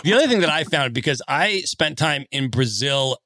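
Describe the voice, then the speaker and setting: A man's voice: on the high side, lively intonation, normal speed, bright, forceful tone, no room sound. A man hosting a podcast who chats casually and tells jokey, enthusiastic travel anecdotes. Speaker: podcast host. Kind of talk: casual interview chat.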